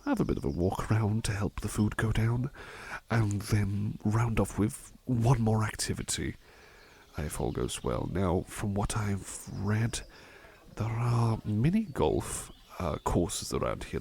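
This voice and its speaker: An attentive butler played by a man in an ASMR roleplay, with a lowish voice full of soft fry. His pitch moves around in a lively way as he rambles gently and hesitantly. He is courteous and eager to please. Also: full character voice.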